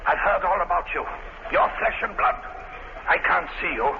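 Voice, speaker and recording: An actor playing a merciless megalomaniac criminal mastermind in an old radio crime drama, speaking formally and with menace. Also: male voice, sits high, lively intonation, normal speed, vocal fry. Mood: alarmed, defiant. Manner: menacing, formal, grandiose villain delivery. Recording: noisy, muffled vintage radio recording.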